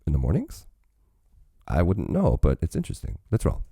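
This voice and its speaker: Very deep, gravelly-voiced man musing aloud. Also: wildly swooping pitch, moderate pace. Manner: musing, rambling aloud with frequent restarts. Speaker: music reaction host. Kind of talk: casual reaction commentary.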